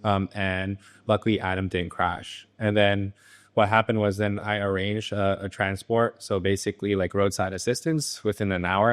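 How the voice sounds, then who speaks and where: A young man from Thailand with an American accent, a business founder answering interview questions in a relaxed, halting way with many ums and uhs. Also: lowish voice. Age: young adult. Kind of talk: casual interview. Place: his motorcycle garage.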